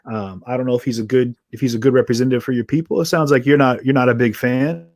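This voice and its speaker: Male host, mid-pitched voice, earnest rambling delivery, dry close mic. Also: steady tone, quick pace, darkish tone, no echo. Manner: rambling, earnest, trails off and restarts. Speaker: podcast host. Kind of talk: conversational interview.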